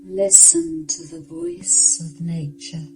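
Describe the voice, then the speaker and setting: Very slow, low-voiced woman guiding meditation. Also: sing-song, vocal fry, background noise. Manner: soothing, hushed, unhurried with long pauses. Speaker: guided meditation narrator. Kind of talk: yoga nidra guided meditation, scripted narration.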